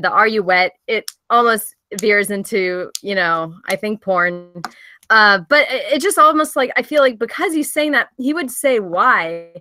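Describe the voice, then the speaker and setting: A woman's voice: mid-range voice, pushed and bright. A woman giving casual, tentative feedback as a panelist, talking informally and thinking her points through as she goes. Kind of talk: casual spoken critique at a table read.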